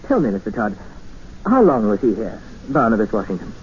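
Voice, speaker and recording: A muffled, faintly hissy mid-century radio recording of a courteous stranded traveller. His medium-pitched voice moves expressively, tentative and questioning, with unease under the good manners of old radio acting. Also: sing-song, fairly quick. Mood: probing, uneasy. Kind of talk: 1950s radio drama acting.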